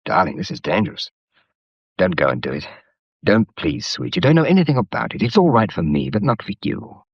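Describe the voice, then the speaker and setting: An actor playing a debonair, sardonic young English gentleman in a period radio drama, with dry, flippant upper-class wit. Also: low voice, very animated, a little fast, husky, dry recording, highs cut off, exaggerated voice. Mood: tender, urgent.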